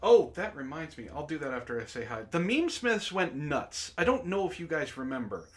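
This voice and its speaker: A man's voice: a little high, sing-song, normal speed. A man hosting a casual group livestream with informal, sweary banter. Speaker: host.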